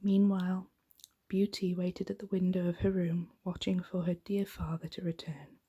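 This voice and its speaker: Breathy, soft-spoken woman reading a bedtime story. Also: fairly low pitch, little pitch movement. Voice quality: breathy, whispery. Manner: gentle, unhurried.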